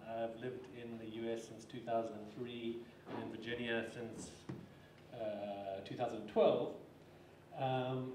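A man's voice: medium pitch, distant mic, noisy background. Man from Zimbabwe, hesitant, roomy hall. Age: 40s. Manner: hesitant, many fillers and restarts. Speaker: panelist author. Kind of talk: casual talk on a panel. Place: stage in a large dark hall. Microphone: handheld microphone. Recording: roomy hall sound, mic a bit off.

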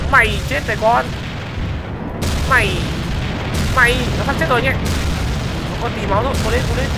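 An excitable gaming video host giving exclamation-filled gameplay commentary. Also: medium pitch. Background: music.

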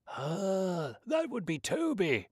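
A man voicing a lanky, cranky old male animal keeper with shrill, querulous, working-class speech. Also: huge pitch swings, slow, darkish tone, slightly rough, no echo, clean studio sound, heavily acted. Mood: chatty, fussing.

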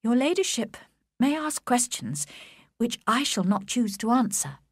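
A woman reading aloud voices a composed young woman who answers in a cool, measured way. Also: on the high side, big pitch swings, no echo, studio quality, pitched above the performer's own voice.

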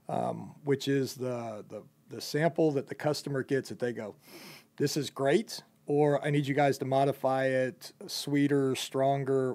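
A man's voice: mid-range voice, a little mellow. Young guy running a casual job interview, rambling and chatty, lots of uhs. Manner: loose, rambling, chatty with many fillers. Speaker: company owner interviewing a job applicant.

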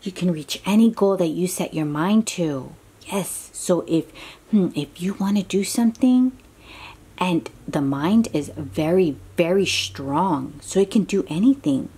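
A woman's voice: fairly low pitch, measured pace, warm tone, breathy edge. A woman reading a picture book aloud to young children in an encouraging, exclaiming way, with chatty asides and questions to the listener.

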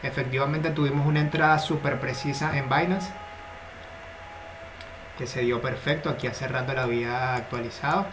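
A man analysing cryptocurrency charts in a steady, explanatory screen-share commentary. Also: medium pitch, moderate pace. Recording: noticeable background noise.

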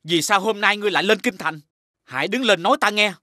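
Bright, high-pitched stately king. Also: fast talker, no echo, studio quality. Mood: commanding. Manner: stately, commanding. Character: authoritative, magnanimous king of a folk tale. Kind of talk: animated fairy-tale dialogue.

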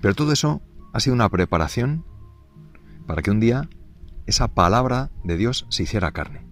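A man, a priest, giving an unscripted catechesis in a gentle, halting way, with many fillers and restarts. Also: mid-range voice, big pitch swings, faint music behind.

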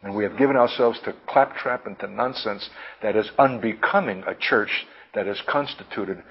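Husky, low-voiced man preaching. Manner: deliberate, emphatic, halting with repeats, lofty vocabulary. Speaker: preacher, Bible teacher. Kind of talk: impassioned prophetic sermon, teaching. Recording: dull, lo-fi sermon recording.

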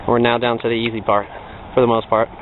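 A man's voice: mid-range voice, flat delivery, fast. Young male hiking vlogger, casual and rambling, tinny camera audio with wind noise. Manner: chatty, frequent ums while walking. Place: outdoor hillside trail. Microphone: handheld camera.

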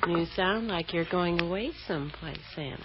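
A woman's voice: lowish voice, moderate pace, close to an everyday voice. An actress playing a childish, wheedling woman who loves her bottle, cooing and sulky in theatrical old radio style. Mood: sly, wheedling. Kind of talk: old-time radio drama acting. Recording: dull, noisy old radio recording.

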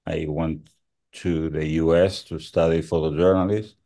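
A man's voice: rumbling low, fairly even intonation, a little slow, warm tone. A man in his sixties with an Argentine Spanish accent recounting his career in an interview. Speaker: photojournalist interviewee. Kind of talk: interview talk about career. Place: room at home, video call.

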